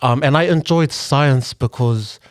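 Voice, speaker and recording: A man's voice: mid-range voice, a little slow, no echo. Earnest young man, dry close sound. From New Zealand. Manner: earnest, reflective, frequent "um" and restarts. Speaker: guest, local politician and engineer. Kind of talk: casual podcast conversation.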